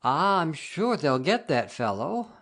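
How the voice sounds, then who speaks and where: Woman acting a slow, low-voiced, placating studio underling. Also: very animated, exaggerated voice. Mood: reassuring. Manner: mild, reassuring, deferential. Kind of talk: dramatized story reading.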